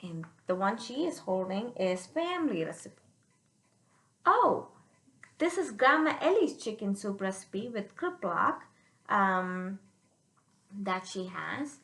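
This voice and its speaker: A woman reading a picture book aloud to children, pausing to explain it simply and check they follow, in a roomy recording. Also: medium pitch. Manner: animated, checks understanding with listeners.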